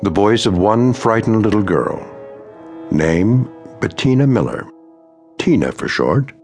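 Deep ominous narrator for eerie radio show, measured, bit of music under. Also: male voice, lively intonation, a little mellow. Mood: ominous, wry. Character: grave, wry anthology narrator of eerie tales. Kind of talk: scripted radio drama narration.

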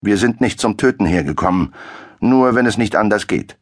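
A man's voice: lowish voice, animated, moderate pace, pitched above the performer's own voice. A man voicing an impatient, menacing nobleman who hisses threats. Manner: hissing, menacing.

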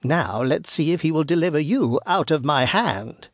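A man voicing a wicked, boastful old witch in a storybook, with a craggy voice and slow, threatening delivery. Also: fairly high pitch, lively intonation, dry recording, studio quality. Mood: vengeful. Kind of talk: storybook narration character voice.

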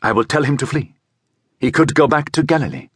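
A man's voice: medium pitch, expressive, fairly quick, fairly bright tone, husky, no echo, studio quality, pitched above the performer's own voice. Man voicing a conflicted, easily swayed younger man. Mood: sorrowful.

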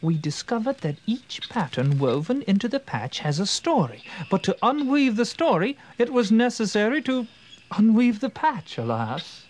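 A man's voice: high-pitched, sing-song, normal speed. An actor playing a pompous, eccentric older titled uncle in an audio drama, hemming genteelly as he schemes in theatrical upper-crust phrases. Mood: explaining, grand.

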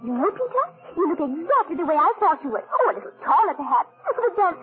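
A prim, eager little storybook daughter. Her very high voice is lively and sing-song in vintage radio style, on a tinny recording with some music. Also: fast talker. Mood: eager, chatty. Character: chatty, eager, sweet young girl, storybook daughter. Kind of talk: old-time radio acting.

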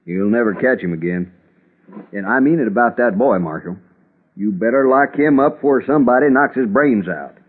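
Grumbly suspicious cowboy townsman, rough voice, tinny radio drama. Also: mid-range voice, expressive, normal speed. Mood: grumbling, agitated. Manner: grumbling, insistent. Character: suspicious, bigoted frontier townsman, stubborn and agitated. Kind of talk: 1950s radio western acting. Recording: tinny 1950s radio recording.

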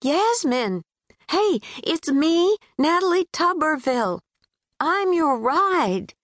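A woman's voice: high-pitched, very animated, slow, a bit bright, no echo, clean studio sound, voice pushed up, full character voice. A woman voicing a brash, friendly young Southern woman with a Tennessee drawl, breezy and folksy. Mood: calling out.

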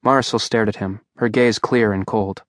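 A man's voice: fairly low pitch, fairly even intonation, normal speed, no echo. A man reading an audiobook aloud in a dry, wry storytelling manner at a studio microphone. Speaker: audiobook narrator. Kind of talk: scripted narration.

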